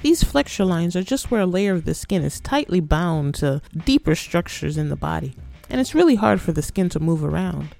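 A podcast host with a mid-pitched, mellow voice that often drops into creaky fry. The delivery is scripted but conversational and playful, like an informal explainer, with faint music underneath. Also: very animated, moderate pace. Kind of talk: scripted educational narration.

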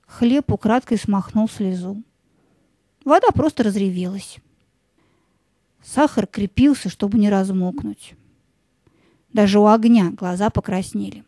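A woman narrating a scripted fairy tale in a gentle, lyrical storytelling manner. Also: mid-range voice, a little slow, long pauses, dry recording.

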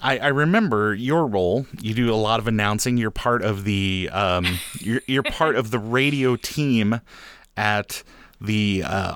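A man's voice: on the low side, unhurried, a bit bright, no echo. A man interviewing someone casually, joking and rambling as he goes. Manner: jokey, rambling.

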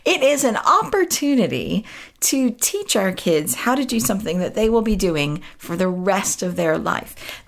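A woman hosting a podcast with a croaky voice, talking in a chatty, self-interrupting way and laughing at herself. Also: medium pitch. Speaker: podcast host. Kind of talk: casual solo podcast talk.

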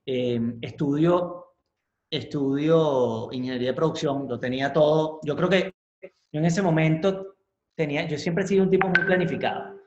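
A man from Caracas with a Venezuelan accent, telling his story in a casual interview, haltingly, repeating words and slipping in English ones. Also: a little high, fairly even intonation, darkish tone, slight background noise. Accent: Venezuelan Spanish. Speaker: interview guest, business professional. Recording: roomy echo, slightly dull lo-fi sound.

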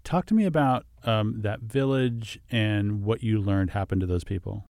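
A man with a low, gravelly voice hosts a podcast interview. He speaks in a friendly, conversational way and drops in ums as he frames his questions. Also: moderate pace, a little mellow, no room sound, clean studio sound. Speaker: podcast host. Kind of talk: interview hosting.

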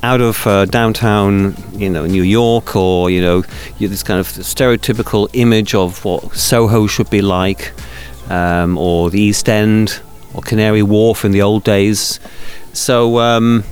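A man's voice: lowish voice, fairly even intonation, measured pace, few pauses, a little mellow. A Swiss man being interviewed as a guest, chatty and anecdotal, telling stories with many asides. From Switzerland. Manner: chatty, anecdotal, with fillers and restarts. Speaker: radio festival organizer, guest. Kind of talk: interview conversation.

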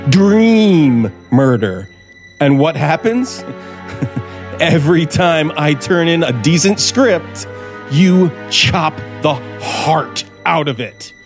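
A bitter, sardonic American writer in a 1950s radio drama. He is intense and deliberate, speaking slowly with big swings of pitch and seething resentment. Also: exaggerated voice. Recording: vintage 1950s radio recording.

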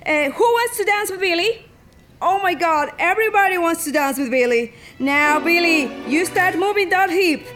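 An actress playing an exuberant, bossy dance teacher, effusive and commanding, in a radio drama. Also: female voice, very high-pitched, lively intonation, normal speed, a bit bright, faint music behind. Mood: exuberant, encouraging. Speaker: dance instructor.

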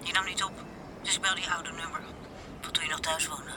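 An actress playing a shaken, reproachful young club worker in an audio drama, blunt and emotional. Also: female voice, fairly low pitch, steady tone, a little fast, background noise, lightly acted.

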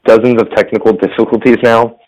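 A slightly hoarse man hosting a casual tech podcast over a phone line, rambling with fillers and restarts. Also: medium pitch, level tone, a little fast, darkish tone. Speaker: podcast host. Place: business center. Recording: phone-quality audio.

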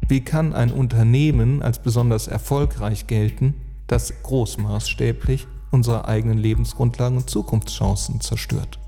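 A man narrating an earnest, reflective spoken essay, phrasing deliberately and emphatically with rhetorical weight. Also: mid-range voice, steady tone, dark tone. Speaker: speaker and author on climate and sustainability. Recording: long reverb, music underneath. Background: music.

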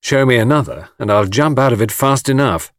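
Offhand, brisk gentleman tour guide, voiced by a male reader, highly animated pitch, close dry sound, storybook hero. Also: no echo, lightly acted. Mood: wry. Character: breezy, flippant gentleman adventurer working as tour guide. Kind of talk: audiobook character voice.

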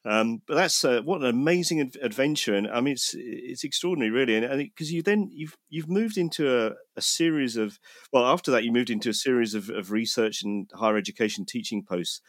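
A man's voice: mid-range voice, fairly quick, dry recording, studio quality. A man interviewing a guest, often repeating words and restarting phrases. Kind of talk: interview conversation.

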